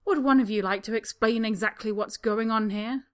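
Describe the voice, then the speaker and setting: A woman's voice: medium pitch, very animated, normal speed. A woman voicing a cold, stern spa receptionist who talks sharply and demands answers. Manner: sharp, demanding, falsely kind.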